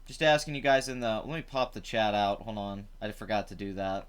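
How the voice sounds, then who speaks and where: Host type: young guy, chatty and rambling, voice rough from the night before, resonant tone. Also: on the low side, steady tone, a little fast. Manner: rambling, chatty, many fillers. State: rough voice after drinking. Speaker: live stream host. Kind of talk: casual live stream commentary. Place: home.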